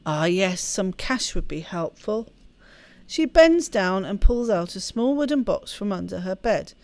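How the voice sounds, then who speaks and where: A woman reading a story aloud as expressive narration for a game playthrough. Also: medium pitch, a little slow, no room sound. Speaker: let's play host reading game text aloud.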